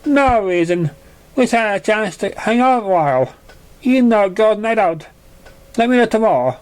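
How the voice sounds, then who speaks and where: A man's voice: high-pitched, lively intonation, moderate pace, voice pushed up. A man voicing a friendly, playful female pegasus pony in a storybook reading. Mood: breezy, friendly.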